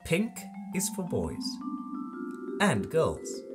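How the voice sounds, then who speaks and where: A children's story reader with a very slow, deliberate delivery and a medium-pitched voice that swings expressively. The phrasing is playful and drawn out for young listeners, with music behind it. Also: wildly swooping pitch. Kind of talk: expressive children's picture-book read-aloud. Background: music and sound effects.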